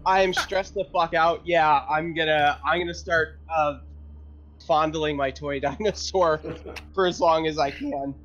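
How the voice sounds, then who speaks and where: A man's voice: a little high, fairly even intonation, measured pace, a bit bright, highs cut off. A man chatting casually and informally as a player in a tabletop game. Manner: sweary, informal. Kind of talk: casual chat.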